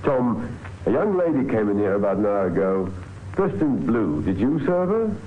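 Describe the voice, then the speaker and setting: Suspicious british copper in an old radio play, polite but firm, tinny hissy sound. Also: male voice, on the low side, big pitch swings, moderate pace. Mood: casually probing. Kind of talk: old radio drama acting.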